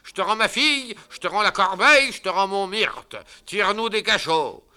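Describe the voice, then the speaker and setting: A man's voice: high voice, lively intonation, normal speed, sharp tone, clean tone, voice pushed up, full character voice. A man voicing a proud old father-in-law who declaims grandly. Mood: grandiose.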